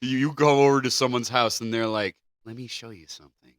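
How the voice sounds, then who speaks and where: A man's voice: mid-range voice, steady tone, fairly quick, dry recording, studio quality. A man co-hosting a podcast in a casual, comedic, conversational style. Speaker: podcast co-host.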